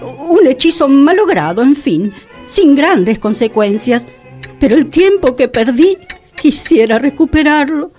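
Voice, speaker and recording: An actress plays an indignant woman radio host with a high voice and a Buenos Aires accent. Her delivery swoops expressively as she questions everything in theatrical radio-play style, with faint music around her. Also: very animated, unhurried, a little mellow. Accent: Argentine, Buenos Aires.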